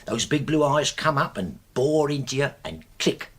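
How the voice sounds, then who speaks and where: An actor playing a teasing, gallant working-class English manservant in a radio drama, with a husky voice. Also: male voice, on the high side, normal speed, a bit bright. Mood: curious, warning. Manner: teasing, matey.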